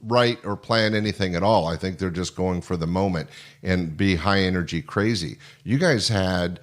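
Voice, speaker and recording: A man's voice: deep voice, moderate pace. A man hosting a conversational interview, speaking in a complimentary, rambling way. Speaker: podcast host interviewing guests.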